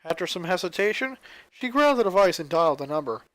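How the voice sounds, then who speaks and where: High-voiced man narrating a story. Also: sing-song, fast, fairly bright tone, no echo, poor audio. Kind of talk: scripted story narration, fan fiction reading.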